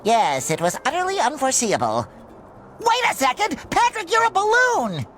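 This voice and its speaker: A man playing an excitable, naive young male sea sponge in a cartoon game, with a squeaky, nasal, gushing voice. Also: normal speed, full character voice. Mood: alarmed. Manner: gushing, exuberant, childlike. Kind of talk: cartoon game cutscene acting. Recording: music under the voice.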